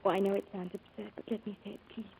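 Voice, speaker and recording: A woman playing a tender, perceptive young bride-to-be, gentle and earnest, in 1940s radio drama style. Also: medium pitch, level tone, racing, gravelly, husky. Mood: tender, wounded. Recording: muffled old radio recording, music behind.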